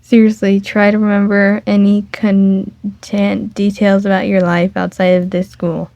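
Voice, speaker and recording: A woman voicing a deadpan, unimpressed toy-like female character in a dry, matter-of-fact way, on a dull lo-fi recording. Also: mid-range voice, unhurried, creaky, no echo. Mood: flat. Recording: dull lo-fi dry home mic.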